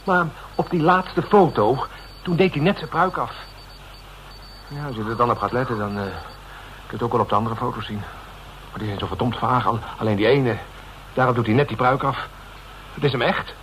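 An actor playing a frightened, guilt-ridden man in a Dutch radio drama, confessing haltingly with stammers and repeated words. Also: mid-range voice, a bit bright, lightly acted. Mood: agitated, defensive. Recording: noisy old radio drama recording.

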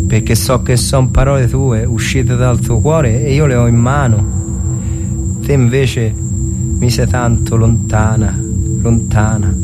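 A man's voice: mid-range voice, lightly acted. A man as devout soldier husband, over music. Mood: tender, longing. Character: devout, simple, lovesick soldier husband writing to his wife. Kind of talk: dramatic reading of a wartime letter.

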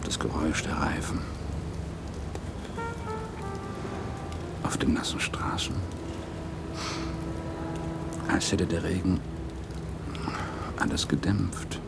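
An actor playing an exhausted, obsessed police detective, intimate and brooding, in a naturalistic radio drama. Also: male voice, deep voice, steady tone, moderate pace. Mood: quiet, wistful. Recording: clear rain and ambience noise.

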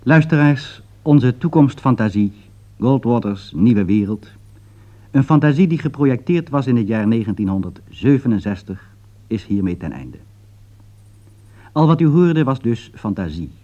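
Earnest Dutch male commentator, very varied intonation. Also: medium pitch. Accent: Netherlands Dutch. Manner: formal, earnest, rhetorical delivery. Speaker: radio presenter, commentator. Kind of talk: scripted 1960s radio commentary. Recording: dull vintage 1960s radio recording.

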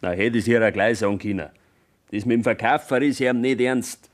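A man plays a grown farmer's son. His voice is medium-pitched, and his tone is flat and certain. It is a role in a radio play. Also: fairly quick, natural voice. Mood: dismissive.

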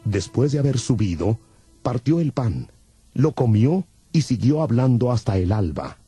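A male narrator reads scripture in a mellow, dark-toned voice of medium pitch. It is scripted audio Bible storytelling, with faint music underneath. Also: very animated, a little slow, husky, dry recording.

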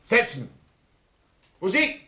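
A man's voice: slow pace, bright, forceful tone, faint music behind. A man playing a self-important party chairman in a radio drama, giving pompous, formal oratory to comrades. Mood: solemn, festive.

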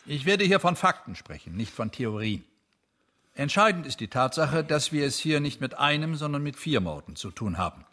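An actor playing a determined lead police investigator in a radio drama, deliberate, factual and authoritative. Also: male voice, fairly high pitch, very animated, pushed and bright, heavily acted. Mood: controlled, factual.

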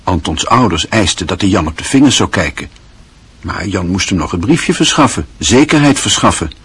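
A man narrating a radio play in a measured, literary storytelling manner. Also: deep voice, animated, fairly bright tone, breathy edge.

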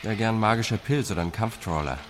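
An actor playing an earnest, stubborn programmer in a naturalistic radio drama, terse and defensive. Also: male voice, lowish voice, fast talker, noisy background, lightly acted. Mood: grim, stubborn.

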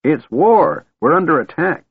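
A cranky, excitable old wizard. The voice is raspy and pitched well up, jumping about as he blusters and sputters. He sounds scatterbrained and easily flustered. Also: measured pace, no room sound, narrow, tinny audio, heavily acted. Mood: gleeful alarm. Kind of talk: audiobook fantasy character voice.

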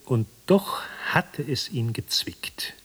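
A man narrating a literary radio drama in a breathy voice. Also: mid-range voice, expressive, slow pace, a bit bright, full character voice.

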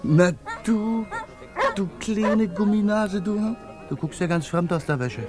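A man's voice: high voice, slow, over music. A man acting a wry, grumbling misanthropic painter in a 1950s radio play. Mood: playful, curious. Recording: noisy 1950s radio recording.

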